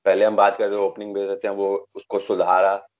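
A man's voice: on the low side, completely flat delivery, brisk. A young man hosting a cricket discussion in a conversational way, hesitating and searching for words, over a video call. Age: young adult. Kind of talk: conversational sports commentary. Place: indoors, small room, video call. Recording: dull lo-fi video call audio.

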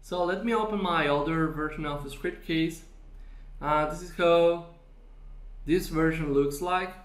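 Slow-talking man with roomy echo. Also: fairly high pitch. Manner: slow, explanatory, with frequent fillers. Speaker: software demo presenter. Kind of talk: screen-recorded software tutorial. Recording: echoey room.

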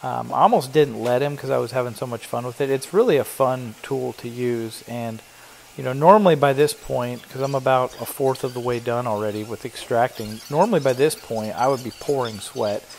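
A man's voice: medium pitch, moderate pace, vocal fry, a little hiss or hum. A middle-aged man who owns a cleaning business, giving a chatty, explanatory voiceover for his work vlog. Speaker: carpet cleaning business owner.

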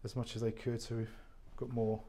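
A man, an estate agent giving an unscripted walk-through tour of a warehouse flat, chatty and full of fillers and restarts. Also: medium pitch, fairly quick, a little hiss or hum. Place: large warehouse flat, indoors. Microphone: handheld phone camera, speaker off screen.

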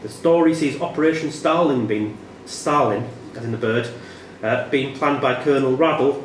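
A man with a mid-range voice reads quiz questions deliberately. He sounds like a pub quiz host in a reverberant room, with some noise around him. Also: mid-range voice. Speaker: quiz master.

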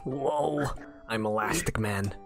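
A woman's voice: rumbling low, takes it slowly, faint music behind. A woman improvising lines for a comedy fandub, joking and swearing in exclamations. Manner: jokey, profane exclamations.

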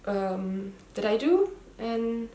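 A woman's voice: a little high, animated, extremely slow, mellow. Austrian woman, native german speaker, hosting her knitting vlog, lots of ums, echoey living room. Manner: hesitant, many ums and restarts. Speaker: knitting podcast host. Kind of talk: casual chat to camera. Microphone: camera mic, head and shoulders framing.

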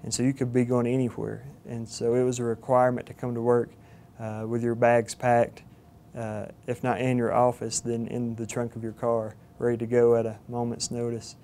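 A young man in his twenties with a Southern Georgia accent, earnest and halting with fillers, speaking in an interview. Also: mid-range voice, completely flat delivery, mellow. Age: 20s. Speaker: cadet candidate. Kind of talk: interview testimonial. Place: studio with plain backdrop. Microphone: lapel mic.

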